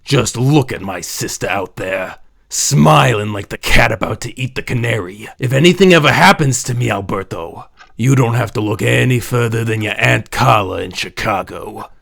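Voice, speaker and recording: A man playing an older crime lord in a comic dub drama, gravelly and deliberate, courteous but menacing and patronising. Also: mid-range voice, fairly bright tone. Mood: commanding, weary.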